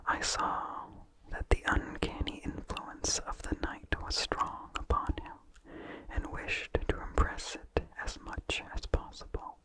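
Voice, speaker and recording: An ASMR narrator whispers an old horror tale without any voicing. The delivery is very unhurried and deliberate, each phrase set down carefully. The breathy whisper sits close to the microphone, with small mouth sounds. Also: low-quality recording. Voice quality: breathy, fully unvoiced whisper. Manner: slow, measured, deliberate phrasing. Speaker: narrator reading a story aloud. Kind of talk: whispered ASMR reading of a vintage horror story. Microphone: close microphone with incidental mouth sounds.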